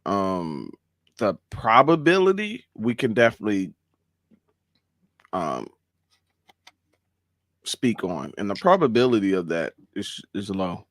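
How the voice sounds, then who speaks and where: A man's voice: lowish voice, sing-song, takes it slowly, pauses often, dry recording, clean studio sound. Joking man hosting a reaction chat. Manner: laughing, joking banter. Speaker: reaction show host. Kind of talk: casual reaction commentary.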